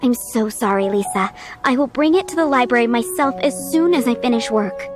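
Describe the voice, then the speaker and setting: Actress as high-voiced polite waitress. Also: female voice, a little fast, music in the background, natural voice. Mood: sheepish, apologetic. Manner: courteous customer-service delivery. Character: polite, cheerful, apologetic young restaurant waitress. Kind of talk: video game character acting.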